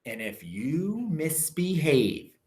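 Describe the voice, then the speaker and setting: A man's voice: a little high, very animated, takes it slowly, darkish tone. A man voicing a wicked, strict woman substitute teacher who snaps and hisses her commands in a storybook reading. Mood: stern. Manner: snapping, hissing, commanding.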